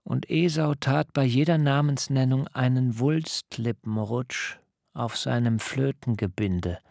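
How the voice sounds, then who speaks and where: A proud, wary man narrates his own tale in a radio drama. The voice is gravelly and unhurried, recorded dry and close. His phrasing is measured, wry and literary. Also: mid-range voice, a little mellow, dry recording. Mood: wry, reserved. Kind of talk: scripted first-person narration in a radio drama.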